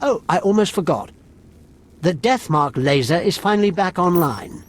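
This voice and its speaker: An actor playing a gloating, menacing villain in a video game, with a taunting delivery. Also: male voice, high-pitched, expressive, moderate pace.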